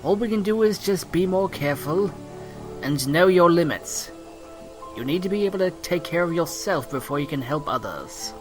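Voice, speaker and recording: Higher-pitched caring man acting over music. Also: animated, moderate pace, natural voice. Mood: gentle, resigned. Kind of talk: audio drama acting.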